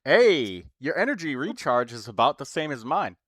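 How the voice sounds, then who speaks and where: A man hosting a gaming stream, chatting casually with friends, excitable and swearing, now and then bursting into loud shouts. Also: mid-range voice, normal speed, no room sound, studio quality. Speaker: streamer host.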